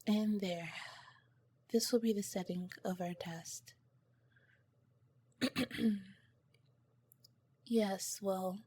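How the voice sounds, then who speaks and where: An earnest female alien scientist, played by a woman, speaks softly and slowly in an ASMR roleplay. Her breathy voice carries formal, clinical phrasing, broken by shy, flustered hesitation. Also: fairly low pitch. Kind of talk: ASMR roleplay, soft-spoken to the listener.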